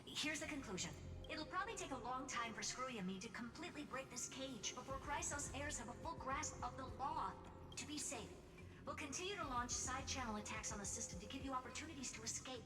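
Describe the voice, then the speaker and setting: A woman voicing an impatient, brisk young genius. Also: high voice, lightly acted. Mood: urgent. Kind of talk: game voice acting. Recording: distant, echoey, with game music.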